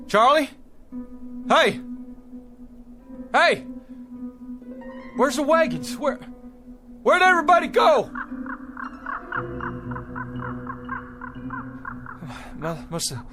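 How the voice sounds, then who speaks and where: An actor playing an earnest, desperate pioneer husband who leads a wagon party and speaks haltingly in a radio drama. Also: male voice, very high-pitched, heavily acted. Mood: bewildered, alarmed. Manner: halting, stammering, repeating words.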